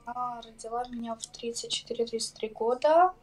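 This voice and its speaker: A woman's voice: a little high, fairly quick, faint music behind, low-quality recording. A young woman in her twenties being interviewed on camera, defensive, flustered and often giggling. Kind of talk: on-camera street conversation.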